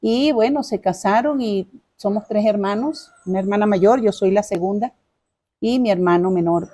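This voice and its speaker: A woman's voice: mid-range voice, normal speed, dark tone. A middle-aged woman with a Caracas Venezuelan accent, telling her life story informally as an interview guest, chatty and courteous, often repeating her phrases.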